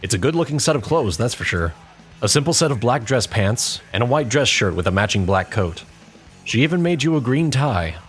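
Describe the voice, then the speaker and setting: A man's voice: mid-range voice, sing-song, brisk. A man reading scripted story narration as the narrator of an audio tale. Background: background music.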